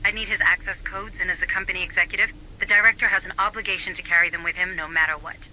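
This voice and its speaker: Bright, precise company administrator. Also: female voice, fairly low pitch, steady tone, quick pace, slight background noise, rough recording. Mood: focused, explanatory. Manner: matter-of-fact, precise. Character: sharp, analytical female company administrator at a space colony. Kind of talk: scripted video game dialogue. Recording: faint background ambience.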